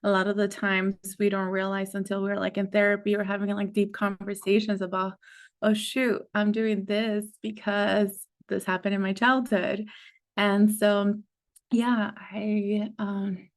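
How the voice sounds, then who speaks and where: A young adult woman coach who grew up in the United States, chatting casually as a guest. She speaks at an everyday middle pitch, fills her talk with "like", and laughs often. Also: flat delivery, unhurried. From United States, Mexican parents. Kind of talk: casual podcast conversation.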